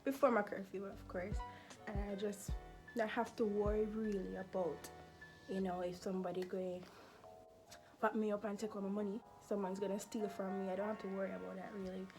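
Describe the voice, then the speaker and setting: A woman's voice: medium pitch, level tone, a little fast, music in the background. A nineteen-year-old woman from Jamaica with a Jamaican accent, chatting casually in a Q&A vlog, laughing often and filling with "you know" and "like". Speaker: vlogger.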